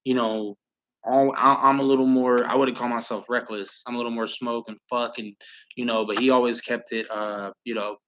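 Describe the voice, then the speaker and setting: A man at an ordinary middle pitch rambles his way through a casual live stream, padding his talk with fillers and the odd curse. Between tangents he turns to calling the plays himself. He is from Southern California. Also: level tone, normal speed, a bit bright. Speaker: livestream host and play-by-play commentator.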